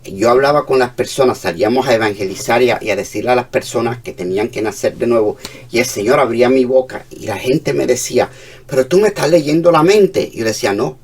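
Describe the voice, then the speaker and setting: Cuban-accented male pastor, fervent sermon delivery, husky low voice recorded from a distance. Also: quick pace. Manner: fervent preaching, shifts between hushed and emphatic.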